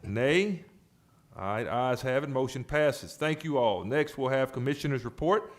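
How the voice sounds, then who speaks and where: A man presiding as chair over a public board meeting, speaking in a halting way with repeated words and restarts. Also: mid-range voice, expressive. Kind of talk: formal but informal-toned meeting remarks.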